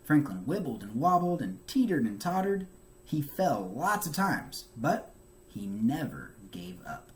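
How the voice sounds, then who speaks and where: A man reading a children's book aloud as a teacher, with expressive, emphatic narration for young listeners. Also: mid-range voice, a little slow, slight background noise.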